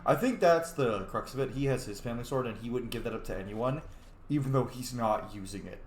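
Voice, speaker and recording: A young man casually commenting on a video game as he plays, muttering to himself with excited bursts. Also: mid-range voice, brisk. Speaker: gamer. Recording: echoey room.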